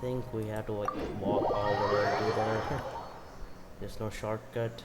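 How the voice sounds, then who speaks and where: A man's voice: on the low side, flat delivery, slow. A man giving casual gameplay commentary as the host of a gaming video. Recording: loud game music behind. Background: game music.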